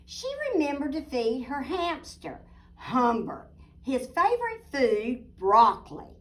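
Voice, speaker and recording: A woman reading a picture book aloud expressively, deliberate and emphatic, with little asides to young listeners. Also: sits high, big pitch swings. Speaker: children's storybook reader, teacher. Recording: distant microphone.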